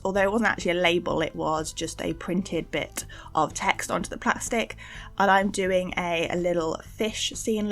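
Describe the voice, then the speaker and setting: A young woman giving a casual, chatty craft voice-over for an art channel, informal and gently self-deprecating. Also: mid-range voice. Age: young adult. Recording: music behind the voice. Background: faint background music.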